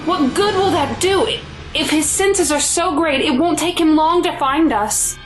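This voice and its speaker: Woman acting a worried mom, high voice, earnest and anxious, audio drama w/ music behind. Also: a little slow. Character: worried, pleading mother of a dangerous teenage son. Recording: music under the voice.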